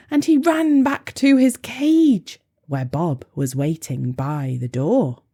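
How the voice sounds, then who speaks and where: A woman's voice: high voice, mellow, breathy edge. A woman reading a rhyming children's storybook aloud in a playful, theatrical way. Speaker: storybook reader.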